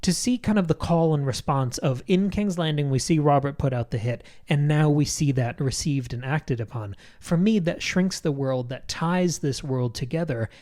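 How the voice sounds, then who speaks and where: A man's voice: fairly high pitch, normal speed, hardly any pauses, warm tone. A man co-hosting a book club podcast, thinking aloud in articulate, layered literary analysis. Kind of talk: literary discussion, analytical chat.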